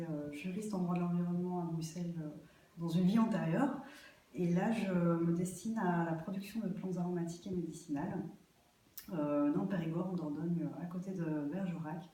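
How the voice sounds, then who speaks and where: A woman from Belgium answers interview questions in a mellow, relaxed voice. She speaks off the cuff and pauses often to think. She sounds set back from the microphone in a very reverberant space. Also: lowish voice. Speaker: interview guest, aspiring herb grower. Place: echoey festival venue. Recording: very echoey room, distant microphone.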